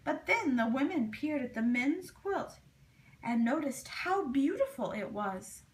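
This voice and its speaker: Woman doing a kids read-aloud, high voice, slow and really expressive. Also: rough recording. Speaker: storybook reader.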